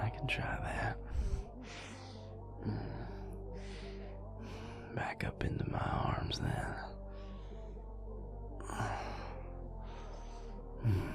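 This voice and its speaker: A man playing a gruff but tender vampire boyfriend in an intimate audio roleplay, murmuring breathily and close to the listener in a gravelly drawl. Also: rumbling low, full character voice. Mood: teasing, intimate. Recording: music playing behind the voice. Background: loud club music.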